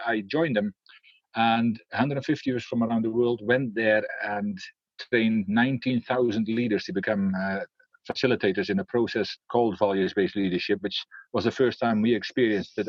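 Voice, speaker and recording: Dutch man, low husky voice, hesitant. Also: fairly even intonation, fairly quick, no echo, lo-fi sound. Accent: Dutch, with Scottish influence. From Netherlands. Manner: hesitant, many fillers and restarts. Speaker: guest, leadership coach. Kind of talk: casual interview talk.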